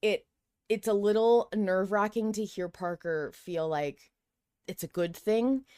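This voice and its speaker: A woman podcast host in casual fan discussion, telling things in an animated way with quoted asides. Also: mid-range voice, unhurried, clean tone, dry recording, clean studio sound.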